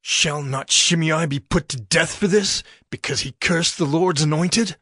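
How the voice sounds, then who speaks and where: A vengeful ancient warrior, speaks in a husky voice set a little high. He sounds indignant, in a closely recorded, dry biblical drama. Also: measured pace, a bit bright, dry recording. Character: indignant, vengeful male warrior demanding an execution. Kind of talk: dramatized scripture acting.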